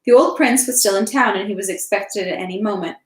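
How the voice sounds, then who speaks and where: A woman's voice: mid-range voice, fairly quick. A woman hosting an informal livestream read-aloud, speaking in a casual, everyday way. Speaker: livestream host reading a novel aloud. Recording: roomy, echoey livestream sound.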